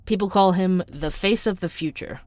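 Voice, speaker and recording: An actor playing a sardonic, suspicious young night-shift barista who narrates a podcast in an audio drama, wry, conspiratorial and conversational. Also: male voice, high voice, normal speed, darkish tone, dry recording, close to an everyday voice. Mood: wry, scornful.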